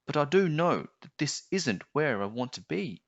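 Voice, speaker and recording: A man's voice: fairly high pitch, big pitch swings, moderate pace, no room sound, dull audio, voice pushed up. A man voicing a young boy in a storybook, earnest, hesitant and full of wonder. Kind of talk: storybook character voice.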